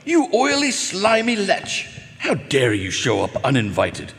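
Casting: male actor as indignant well-off father, gruff and explosive, highish voice with huge swings, hall reverb. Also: wildly swooping pitch, unhurried, a bit bright, full character voice. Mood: outraged. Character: blustering, indignant wealthy doctor and father. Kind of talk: live radio drama acting. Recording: live concert hall with reverb.

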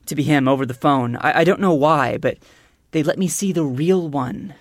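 A woman with a lowish voice, recorded very dry. She is a podcast producer acting out a comic story, stammering and pressing her point haltingly. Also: no echo. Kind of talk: scripted comic storytelling, acted as if real.

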